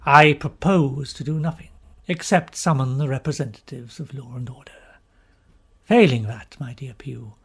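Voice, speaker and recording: A man voicing a smug, wry gentleman who coolly needles a friend in an audiobook story, with dry, formal phrasing. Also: mid-range voice, gravelly, breathy edge, voice pushed down. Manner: dry, formal, unhurried teasing. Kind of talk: dramatised audiobook character reading.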